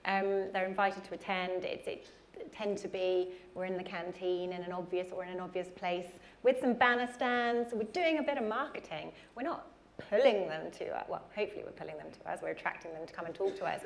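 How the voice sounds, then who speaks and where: A woman's voice: fairly low pitch, fairly quick, vocal fry. A woman answering questions on a conference panel, chatty with frequent ums. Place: conference hall.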